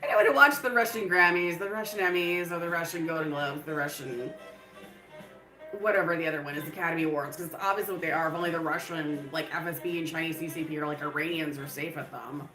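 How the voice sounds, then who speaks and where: A woman's voice: sits low, fast talker, roomy sound, music in the background, poor audio. A woman hosting a live stream, talking in a loose, rambling way full of fillers. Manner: breaks into laughter. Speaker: livestream host.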